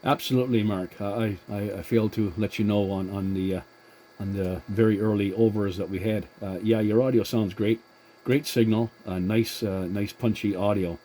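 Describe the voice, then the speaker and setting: A man chatting as a CB radio operator in a courteous, halting manner. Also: fairly low pitch, steady tone, warm tone, slight background noise. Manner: courteous, halting with many hesitations. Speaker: CB radio operator and channel host. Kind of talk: casual two-way radio chat.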